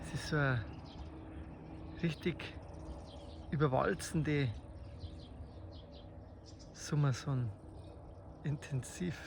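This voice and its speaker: A man with a Bavarian accent improvises spoken poetry outdoors in a slow, reflective, halting way, in short phrases with long pauses. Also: on the high side, vocal fry. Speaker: amateur poet. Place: outdoors in the countryside. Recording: faint outdoor nature noise. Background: birdsong and rural ambience.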